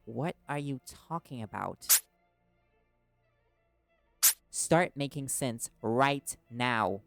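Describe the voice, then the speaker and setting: A man's voice: mid-range voice, animated, very slow, creaky, a bit bright, no echo, faint music behind, full character voice. A man playing a stubborn, wary male reporter held captive in an audio drama, speaking in a hesitant yet defiant way. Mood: confused, demanding. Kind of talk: audio roleplay drama acting.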